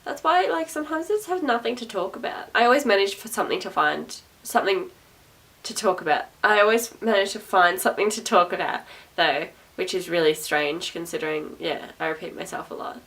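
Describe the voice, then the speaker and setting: A young adult woman talks casually to camera in a loud, projecting voice. She is sarcastic and chatty, repeats herself and laughs often. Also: medium pitch, fairly quick. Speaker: vlogger. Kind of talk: rambling casual talk to camera. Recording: voice a little distant from the mic.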